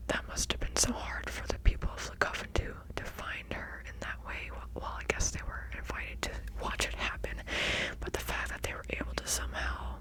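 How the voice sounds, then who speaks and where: A woman playing an earnest witch reading tarot in a whispered ASMR roleplay, speaking breathily and deliberately, repeating phrases for emphasis. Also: lightly acted, poor audio. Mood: shocked, sombre. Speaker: ASMR performer.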